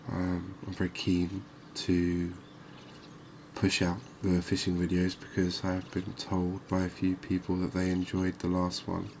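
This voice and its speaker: A man with a deep, very flat voice narrates gameplay in a soothing ASMR style. He speaks softly and takes his time, with long, slow phrasing. Faint ambient noise sits behind his voice. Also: vocal fry. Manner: soft-spoken, unhurried, soothing. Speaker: gaming video host.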